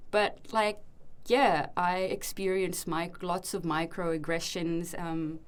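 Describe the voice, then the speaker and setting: A woman's voice: fairly low pitch, fairly even intonation, slow. Reflective Australian-accented young woman. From Indonesia. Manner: reflective, many ums and restarts. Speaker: podcast co-host. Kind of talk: casual conversation.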